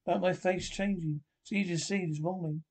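Man performing troubled son in radio horror drama, somewhat high pitch, creaky, halting, horrified yet resolute. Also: fairly even intonation, a little mellow. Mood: grave. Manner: halting, stumbling delivery. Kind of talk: amateur reading of 1940s radio horror script.

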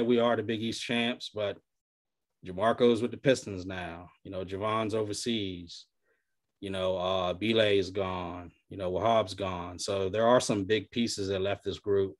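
A young man talking casually on a video call from a small room, relaxed and loose, filling his talk with "you know". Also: lowish voice, moderate pace. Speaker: podcast panelist. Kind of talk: casual chat. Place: small room at home, indoors. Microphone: webcam on video call.

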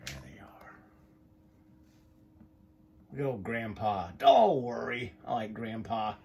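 Gravelly, animated man reading a storybook. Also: fairly low pitch, slow pace. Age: middle-aged. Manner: folksy, playful. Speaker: storybook reader. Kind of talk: animated children's storytime reading with chatty asides. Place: small furnished room indoors.

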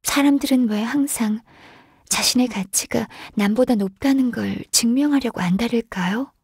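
A woman playing a gentle, shy, earnest half-qilin secretary in a video game, speaking softly and politely with some hesitation. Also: a little high, normal speed, no echo, studio quality. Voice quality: soft, breathy. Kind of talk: video game character voice lines.

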